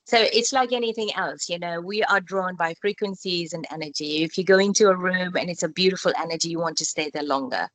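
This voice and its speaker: A woman with a fairly low voice speaks calmly and reflectively, in loose second-language English with spiritual phrasing. She was born in Malaysia. The sound is dry and close, with no room echo. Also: brisk, hardly any pauses. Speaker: skin expert interviewee. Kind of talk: reflective casual interview talk.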